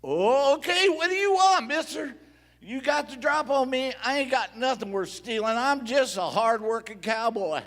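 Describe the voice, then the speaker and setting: Guy doing a high, swoopy old west country fella, folksy drawl, radio play in a big hall. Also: lively intonation, measured pace, fairly bright tone, heavily acted. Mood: startled, wary. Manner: rustic drawl. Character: folksy, plain-spoken Western man, sheriff or cowhand. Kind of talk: live Western radio play acting. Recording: reverberant theater hall.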